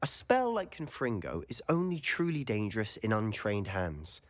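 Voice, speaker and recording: An actor playing a confident, mischievous and secretive teenage male wizard student in a video game, speaking in a measured, confiding manner. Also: lowish voice, wildly swooping pitch. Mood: eager, conspiratorial. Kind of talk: video game dialogue acting.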